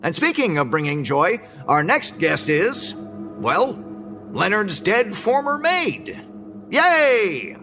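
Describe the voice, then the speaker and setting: An actor playing a slick, showy male presenter in an audio drama, grandiose, with dramatic pauses. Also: high-pitched, huge pitch swings, music in the background, full character voice. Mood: breezy showman. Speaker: event presenter. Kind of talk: theatrical audio drama, keynote showman.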